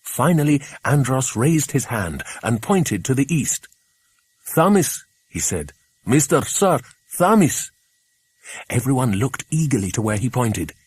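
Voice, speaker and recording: A man reading a children's adventure audiobook, an expressive scripted narration. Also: mid-range voice, normal speed, few pauses, slightly rough, no room sound.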